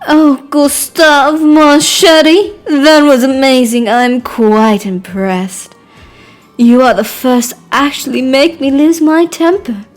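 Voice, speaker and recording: A woman's voice: sits high, takes it slowly, soft background music. A woman voicing a haughty, theatrical aristocratic lady who peppers speech with French endearments, for an amateur game. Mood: amused menace. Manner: imperious, grandiose.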